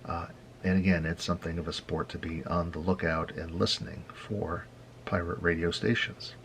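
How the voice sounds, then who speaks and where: Deep voiced american guy doing a casual how-to talk, kinda halting and wry, bit of noise behind him. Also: low-quality recording. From United States. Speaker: host of a hobby radio tutorial. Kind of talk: informal explanatory presentation.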